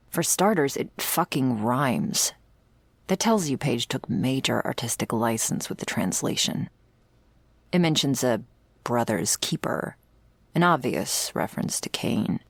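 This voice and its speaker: A woman playing a weary, sardonic investigator of vampire lore in an intimate ASMR roleplay, speaking drily and sometimes hushed. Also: dry recording, full character voice. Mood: skeptical, sardonic. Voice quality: husky, creaky low voice. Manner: dry, sardonic, swearing, hushed in places.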